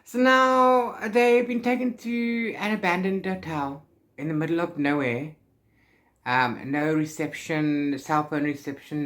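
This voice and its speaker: Casual, halting book vlogger, mid-pitched voice. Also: fairly bright tone. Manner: informal and halting, trailing off and correcting self. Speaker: book vlogger hosting a read-along. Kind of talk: casual, rambling spoken vlog.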